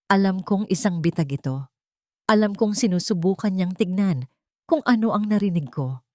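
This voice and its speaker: Lowish-voiced woman narrating a drama. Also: mellow, no room sound, studio quality. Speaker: audiobook narrator. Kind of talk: scripted first-person dramatic narration.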